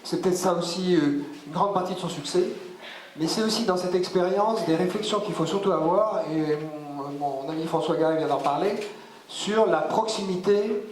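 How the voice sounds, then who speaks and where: A man with a fairly high voice speaks in a reverberant hall with faint ambience. He answers as a local official at a public debate, feeling his way through sentences, doubling back on words and adding emphatic asides. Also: normal speed, a little hiss or hum.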